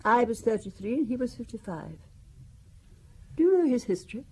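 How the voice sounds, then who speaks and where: A woman's voice: a little high, sing-song, brisk, darkish tone, husky, exaggerated voice. An actress playing a wealthy older widow who talks about her family in a wry, confiding, gossipy way, in a radio drama. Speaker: Valentine Besson.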